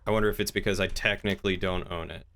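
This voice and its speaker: A man streaming a roleplay game, chatting casually and talking in character in a wry, measured way. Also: sits low, fairly even intonation, fairly bright tone, slight background noise. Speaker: game streamer playing a crime boss.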